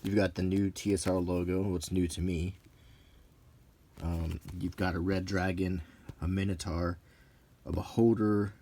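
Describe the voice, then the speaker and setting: A middle-aged man talking casually and unscripted in an unhurried, musing way with frequent pauses, like an unintentional ASMR video. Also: low-pitched, flat delivery, warm tone, slight background noise. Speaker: channel host.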